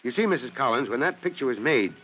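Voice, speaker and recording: A man's voice: mid-range voice, lively intonation, faint music behind, natural voice. Kindly American fire chief played by a man, muffled recording. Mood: warm, proud. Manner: reassuring, folksy, measured authority. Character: kindly, steady fire chief, paternal pal. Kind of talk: old-time American radio drama acting. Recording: muffled, hissy old radio recording.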